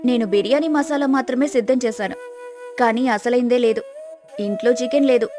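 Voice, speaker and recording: A woman playing a clever, patient young village wife, polite and persuasive, in an animated moral story. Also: a little high, a little fast, faint music behind, close to an everyday voice. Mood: polite regret. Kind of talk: acted moral story dialogue.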